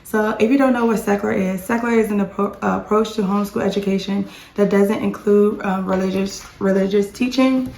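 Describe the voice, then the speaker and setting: A woman in her thirties, slightly hoarse, chatting in a rambling homeschool vlog from her home. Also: mid-range voice, fairly even intonation, a little fast, a little mellow, echoey room, a little hiss or hum. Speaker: homeschooling mom vlogger. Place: home with dishwasher and dryer running.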